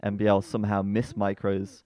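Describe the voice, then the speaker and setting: Male esports caster, lowish voice, relaxed chatter with sudden excited shouts. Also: normal speed, slight background noise. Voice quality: bassy-sounding voice. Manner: chatty, breaks into excited outbursts. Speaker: esports commentator. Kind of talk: live game commentary.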